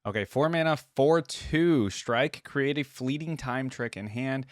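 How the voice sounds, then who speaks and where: A man's voice: medium pitch, a little slow, soft background music. A man giving casual reaction commentary as host of a video.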